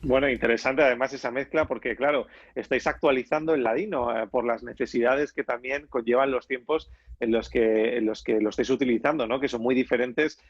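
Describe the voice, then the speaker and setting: A man's voice: medium pitch, steady tone, brisk. A man with a mid-range voice, asking his questions politely and a little hesitantly. The sound is dull and muffled, with the top end missing. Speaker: interviewer.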